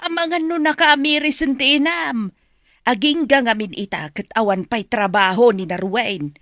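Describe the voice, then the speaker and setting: A woman's voice: fairly high pitch, normal speed, fairly bright tone, no room sound, studio quality, natural voice. A woman acting in an Ilocano radio drama as a blunt, disapproving elderly grandmother. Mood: suspicious, indignant.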